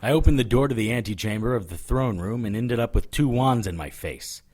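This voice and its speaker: A man playing a hard-boiled occult detective in an audio drama, narrating and talking in a dry, sardonic way with a husky voice. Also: mid-range voice, lively intonation, fairly quick, a little mellow, a little hiss or hum, natural voice. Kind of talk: first-person noir narration and dialogue in audio drama.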